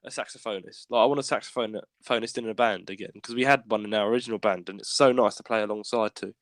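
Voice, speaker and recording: A man co-hosting a casual podcast, stammering and restarting often, with a sore throat he keeps clearing. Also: fairly low pitch, fairly even intonation, fast talker, dry recording. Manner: stammering, restarts often, laughs while talking. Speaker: podcast co-host. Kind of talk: casual chat. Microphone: podcast microphone.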